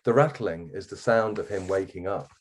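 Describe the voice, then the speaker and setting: A man reading a first-person story aloud as a guest author, in an expressive literary narration style. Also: low voice, normal speed.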